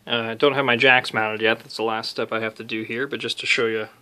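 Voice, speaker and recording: Casual man with a medium-pitched voice. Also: little pitch movement, brisk, pushed and bright, smooth. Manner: self-deprecating, lightly joking. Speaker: DIY video maker demonstrating an amp modification. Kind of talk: casual unscripted tutorial talk.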